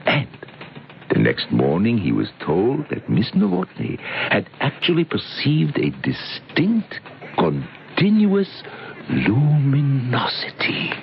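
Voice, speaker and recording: An actor playing a persuasive professor who ardently believes in mystical forces, courtly and insistent, in old-time radio drama style. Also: male voice, mid-range voice, wildly swooping pitch, slow, vocal fry, full character voice. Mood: fervent lecturing. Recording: muffled radio recording with noise.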